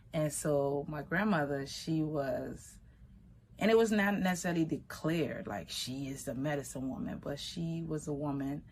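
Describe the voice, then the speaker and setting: A middle-aged woman from Liberia recounts family memories in an unhurried, conversational way. Her voice sits low, and her pace speeds up and slows down as she talks. A faint ambient hum lies under the recording. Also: gravelly. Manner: relaxed, conversational, shifting pace, Liberian English phrasing. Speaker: vegan food business owner. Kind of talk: personal storytelling.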